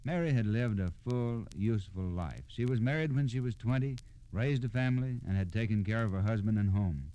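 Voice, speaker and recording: A man's voice: fairly low pitch, fairly quick, warm tone, smooth, poor audio. An American man narrating from a script as an old-time radio storyteller, in a plain, explanatory way.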